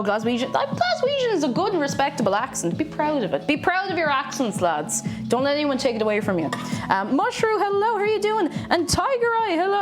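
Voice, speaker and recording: Irish woman, tipperary lilt, fairly high voice, chatty streamer, stream beats playing behind her. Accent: Irish, from Tipperary with some Dublin. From Ireland. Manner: chatty, laughs often, greets chat with bursts of excitement. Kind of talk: casual chat while reading live chat and cooking. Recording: clear background stream music. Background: background music playing.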